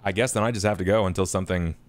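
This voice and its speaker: Very deep-voiced, low-key man. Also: fairly even intonation, very fast. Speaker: player in a tabletop game. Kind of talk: casual game talk.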